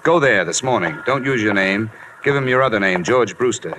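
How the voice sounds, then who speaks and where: Low-voiced hard-boiled radio detective played by a man. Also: expressive, noisy background, natural voice. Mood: curt, commanding. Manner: clipped, brisk, matter-of-fact. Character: hard-boiled, no-nonsense male insurance investigator narrating his case. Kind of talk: 1940s-50s radio detective drama acting. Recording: old radio recording with music.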